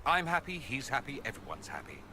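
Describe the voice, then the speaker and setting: An actor playing a witty, exasperated companion with dry sarcasm, in video game banter. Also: male voice, medium pitch, big pitch swings, a little fast, sharp tone. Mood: sardonic. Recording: noisy outdoor-like ambience.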